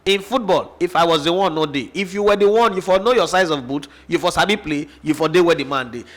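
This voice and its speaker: A man's voice: a little high, fast talker, fairly bright tone. A man with a Nigerian accent hosting a sports show, giving opinionated football analysis and firing off rhetorical questions. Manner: passionate, argumentative, fires rhetorical questions.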